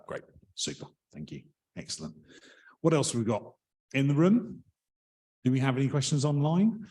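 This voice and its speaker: A man chairing a members' meeting in a hall, polite and hesitant with many pauses and ums. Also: medium pitch, sing-song, warm tone.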